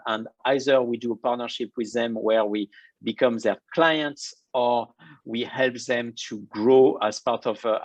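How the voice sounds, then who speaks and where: A man with a French accent, answering interview questions as a bank executive in a measured, orderly way over a video call. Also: medium pitch, no room sound, poor audio. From France. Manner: measured, explanatory, lists points in order. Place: home office or room in London.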